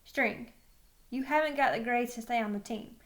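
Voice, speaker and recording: A woman's voice: on the high side, moderate pace, lightly acted. A woman voicing a stern male middle school football coach, blunt and firm, in a children's novel read aloud. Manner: flat, firm.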